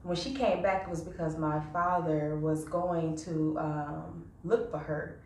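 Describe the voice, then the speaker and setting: A woman's voice: sits low, steady tone, unhurried. A woman casually recounting memories of her mother in a personal testimony, with fillers. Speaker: interviewee. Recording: echoey room, mic at a distance.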